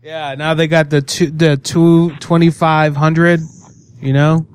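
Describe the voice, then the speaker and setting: Dude prank calling people, mocking salesy patter, voice a bit on the high side. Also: male voice, level tone, slow pace, clean tone. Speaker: prank caller. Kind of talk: prank phone call.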